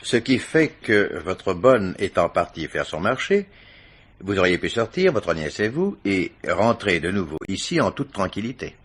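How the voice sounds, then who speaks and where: An actor playing a formal, persistent police commissioner questioning witnesses in a French radio mystery play. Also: male voice, low-pitched, very animated, breathy edge, exaggerated voice. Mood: probing, suspicious. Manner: formal, insistent questioning with deliberate hesitations.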